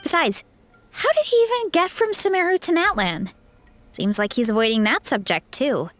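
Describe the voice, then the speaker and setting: A woman playing an earnest, thoughtful young female adventurer in a video game, with a reasoning, gently skeptical manner. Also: sits high, normal speed, no room sound, soft background music. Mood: pondering.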